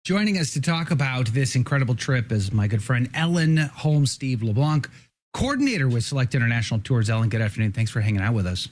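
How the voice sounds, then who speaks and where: A man's voice: medium pitch, expressive, quick pace. A man hosting a casual interview, rambling and repeating words as he restarts. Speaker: show host.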